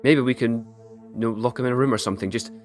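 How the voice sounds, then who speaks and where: A man's voice: medium pitch, steady tone, quick pace, lightly acted. A man playing a hesitant, peace-making survivor in an audio horror drama, earnest and faltering as he pleads. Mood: anxious pleading. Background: dramatic music.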